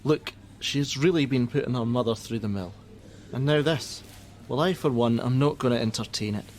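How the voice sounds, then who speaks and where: A man acting a gruff, jaded helpline worker. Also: medium pitch, normal speed, a little mellow, a little hiss or hum, lightly acted. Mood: defiant. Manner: blunt, sardonic, defensive. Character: jaded, gruff, hot-tempered male crisis-line worker and father. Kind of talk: naturalistic radio play acting.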